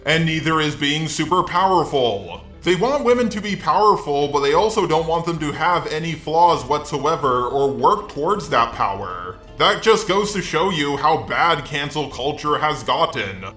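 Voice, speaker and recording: A man's robotic, synthetic voice gives sarcastic video commentary. It sits fairly high and sounds bright and forceful, with a roomy echo around it. The delivery is scripted, opinionated and peppered with swearing. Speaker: video essay host.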